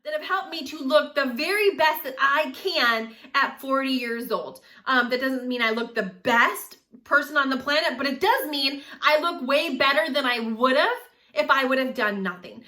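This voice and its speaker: A woman of forty talking casually to camera in a chatty, animated way, laughing often. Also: high voice, moderate pace, hardly any pauses. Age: 40s. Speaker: vlogger.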